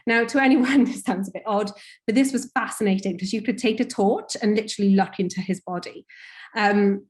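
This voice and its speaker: A woman's voice: medium pitch, a little fast. A young woman from Wales talks through a career panel on a video call. Her delivery is loose and chatty, dotted with ums and "sort of". She sounds like a researcher sharing her story informally. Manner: chatty, many fillers and hedging "sort of". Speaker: panel speaker, cancer researcher.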